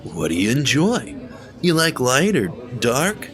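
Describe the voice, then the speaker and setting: An actor playing a friendly, talkative young bartender with a laid-back manner, in an audio drama with music underneath. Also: male voice, medium pitch, huge pitch swings, takes it slowly, a crowd in the background. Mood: easygoing. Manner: laid-back, talkative, says bro a lot. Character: friendly chatty male bartender who calls everyone bro.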